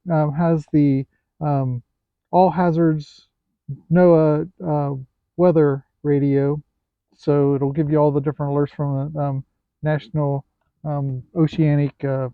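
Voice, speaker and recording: A man with a fairly high voice talks through a product review off the cuff. His delivery is hesitant, with pauses to look things over. The sound is narrow and phone-like, with very little room in it. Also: flat delivery, clear, resonant tone, no room sound. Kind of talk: casual unscripted product review. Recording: thin, phone-like, band-limited sound.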